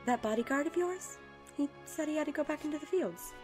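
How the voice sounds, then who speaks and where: An actress playing a gentle mother tending the wounded in an audio drama, soothing in manner. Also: sits high, lively intonation, fairly quick, faint music behind. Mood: concerned.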